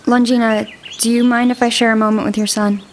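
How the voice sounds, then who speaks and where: An actress playing a bossy but affectionate young princess in an audio drama. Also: female voice, fairly high pitch, flat delivery, fairly quick, slight background noise, close to an everyday voice. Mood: fond, scolding.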